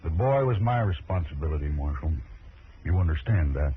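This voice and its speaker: A man's voice: extremely low pitch, expressive, brisk. An American actor playing a stern, middle-aged rancher father in a radio western, terse and blunt. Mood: grimly resolute. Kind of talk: 1950s radio western acting. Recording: tinny, faintly noisy old radio broadcast.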